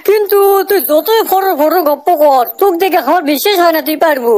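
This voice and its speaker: Scheming cartoon dad, played by a man, middle-aged, nagging, very high pitched-up voice. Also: quick pace, darkish tone. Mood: taunting. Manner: nagging, wheedling, colloquial. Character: sly, resentful middle-aged village father, scolding. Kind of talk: comic cartoon dialogue.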